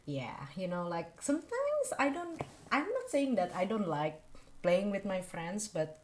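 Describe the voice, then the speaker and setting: A woman's voice: medium pitch, very animated, unhurried, a little mellow, slight background noise. A young woman from Indonesia with an Indonesian accent, hosting a casual interview and chatting with lots of fillers and laughs. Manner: chatty, laughing often, many fillers like "you know". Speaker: podcast host.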